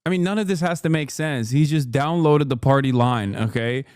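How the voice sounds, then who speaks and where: A man streaming live and reacting to a debate clip, joking and laughing often, dropping to low mutters and jumping to loud high outbursts. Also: moderate pace, smooth, no room sound, clean studio sound. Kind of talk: casual reaction commentary.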